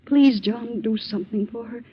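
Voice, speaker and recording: A woman's voice: on the high side, normal speed, husky. An actress playing an ailing, remorseful middle-aged mother in a 1940s radio drama, weary and pleading. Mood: desperate pleading. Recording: muffled, scratchy 1940s radio broadcast.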